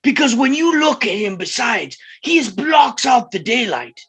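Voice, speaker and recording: A bombastic circus ringmaster in his fifties, played by a man, with a husky voice that swings widely in pitch. He works the crowd with grand, showy barker patter. Music sits behind him. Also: sing-song, moderate pace, fairly bright tone, exaggerated voice. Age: middle-aged. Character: bombastic fifty-year-old circus ringmaster, small but titanic. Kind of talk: theatrical circus barker patter in a script table read.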